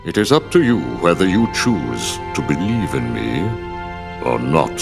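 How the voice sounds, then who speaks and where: An actor playing a cryptic, robed older man in a video game, speaking in a measured, theatrical, enigmatic way. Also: rumbling low, sing-song, creaky, over loud music, exaggerated voice. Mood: cryptic, grave. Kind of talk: video game cutscene acting.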